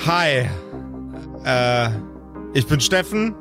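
A man's voice: mid-range voice, animated, very slow, over music. A man playing a polite young festivalgoer who keeps the peace in an improvised audio adventure. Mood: conciliatory.

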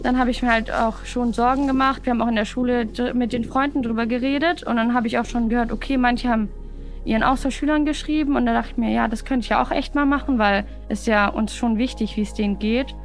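A woman's voice: fairly high pitch, fairly even intonation, fast talker, faint music behind. A young female student speaking casually in an interview, with fillers.

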